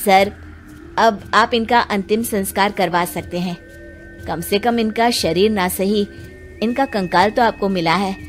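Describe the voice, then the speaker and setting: A woman's voice: medium pitch, a little slow, soft background music, natural voice. Woman as an earnest, respectful police inspector. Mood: probing, respectful. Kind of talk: Hindi animated moral story dialogue.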